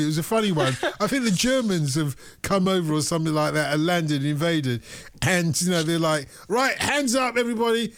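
Lively high-voiced male radio host. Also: a little hiss or hum. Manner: teasing, playful, animated. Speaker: radio presenter. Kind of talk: casual radio chat. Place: radio studio.

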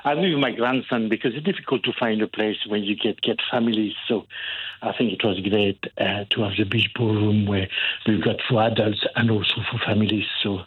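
An older man with a French accent calls in, cheerful and a bit halting. His voice is low and husky. It comes through a thin phone line. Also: steady tone. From France. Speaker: caller.

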